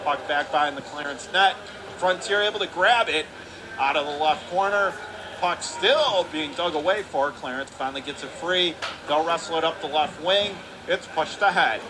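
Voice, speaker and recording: A man calling live hockey play-by-play on radio, his calls climbing into shouts when the play heats up. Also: fairly high pitch. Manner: rapid calls rising to shouts on big plays. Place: hockey arena broadcast booth. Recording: noisy arena background.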